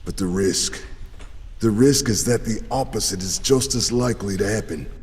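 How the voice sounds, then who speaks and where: Man acting a low, solemn warrior clansman. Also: darkish tone, natural voice. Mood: grave warning. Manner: grave, portentous, deliberate. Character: solemn warrior clansman warning of dark magic. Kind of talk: fantasy audio drama acting. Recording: long hall reverb, music underneath.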